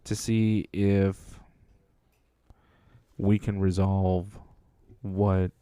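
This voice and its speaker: A mellow, low-pitched man talking close to a dry mic. He co-hosts a podcast with a dry, self-deprecating humor and weighs his answers in a thoughtful way. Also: little pitch movement, extremely slow, clear, resonant tone, no echo. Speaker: podcast co-host, Air Force veteran. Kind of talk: casual chat.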